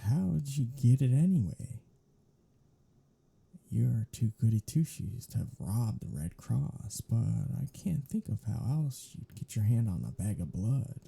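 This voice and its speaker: A man playing a sardonic, teasing vampire in an intimate ASMR roleplay, with a husky, breathy voice and a sarcastic, mocking drawl. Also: mid-range voice, mellow, full character voice. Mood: wry, complaining. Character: sardonic, teasing male vampire, grumbling and irreverent.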